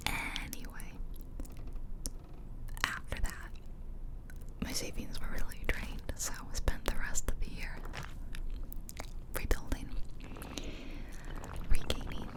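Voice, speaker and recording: A woman speaking in a soft, breathy ASMR whisper close to the mic from ear to ear, rambling casually and gently over game footage. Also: takes it slowly, pauses often. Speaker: ASMR video creator. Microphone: close ear-to-ear stereo microphone.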